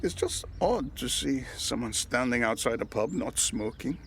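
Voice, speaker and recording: Wry, lively middle-aged gent. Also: male voice, mid-range voice, moderate pace. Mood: wry, polite. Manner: polite, wry. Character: wry, evasive middle-aged Iranian emigre artist. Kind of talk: radio soap acting. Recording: noticeable background noise.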